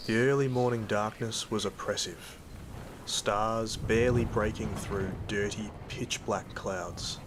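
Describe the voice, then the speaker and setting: An actor with an Australian accent playing a weary, wry, sweary company worker in an audio drama, with a dry, laconic delivery. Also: male voice, fairly low pitch, fairly even intonation, takes it slowly, noisy background. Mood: brooding, ominous. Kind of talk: first-person audio drama narration and dialogue.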